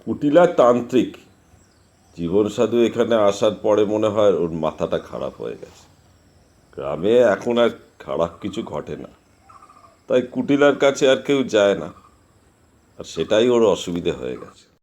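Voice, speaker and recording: A man acts an authoritative village landowner in a horror audio play. The voice sits fairly low. The lines come out firm and measured, with stern weight. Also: animated, darkish tone. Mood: explaining, grave. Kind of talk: Bengali horror audio drama acting.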